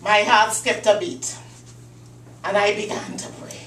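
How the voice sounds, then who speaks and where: Slow, dramatic woman telling a story. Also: mid-range voice, fairly even intonation, a bit bright. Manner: deliberate dramatic storytelling with pauses. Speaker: Toastmasters club speaker. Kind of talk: prepared humorous speech. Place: meeting room. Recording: echoey room, distant mic, faint hum.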